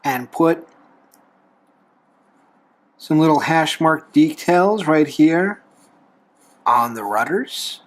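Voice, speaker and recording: A man hosting a drawing tutorial, explaining each step in a folksy, unhurried way with pauses. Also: a little high, animated. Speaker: host of a drawing tutorial show, reverend. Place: talking from behind a camera while drawing.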